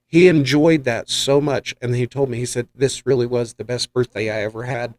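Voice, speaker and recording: A man's voice: mid-range voice, steady tone, moderate pace. A man hosting a podcast, telling rambling, enthusiastic anecdotes in a casual chat. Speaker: podcast host and paranormal investigator.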